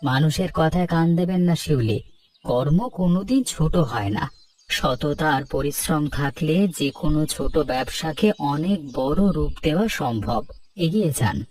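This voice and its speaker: A man voicing a kind, earnest young village doctor in a cartoon, speaking politely and in a measured, encouraging way. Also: on the high side, lively intonation, darkish tone, distant mic. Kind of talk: scripted cartoon dialogue.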